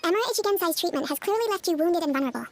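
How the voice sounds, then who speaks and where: A woman playing a composed, earnest young therapist in a video game, speaking in a measured, articulate way. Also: very high voice, lively intonation. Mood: earnest, concerned. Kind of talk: video game character dialogue. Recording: loud game music behind voice.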